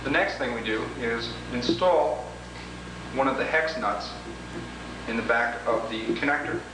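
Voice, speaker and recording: A man's voice: medium pitch, a little slow. Man demonstrating equipment in noisy, echoey room. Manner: methodical, explanatory, formal phrasing. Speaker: product demonstrator and presenter. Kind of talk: instructional product demonstration, step by step. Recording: echoey room, clearly noisy old home video.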